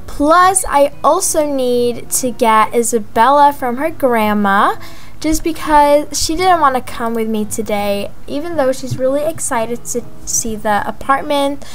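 A young woman voicing a chatty, excitable young mother in a gaming roleplay vlog, gushing and drawing out words for emphasis. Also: high-pitched, a little slow. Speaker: video creator voicing her game character. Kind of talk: voiced life-simulation roleplay vlog narration. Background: background music.

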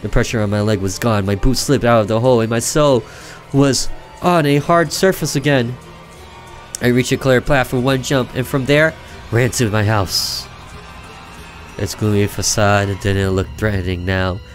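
A man's voice: medium pitch, fairly even intonation, normal speed. A man reading a visual novel aloud as a gaming host, dramatic and theatrical, sometimes stumbling and rereading. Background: game music.

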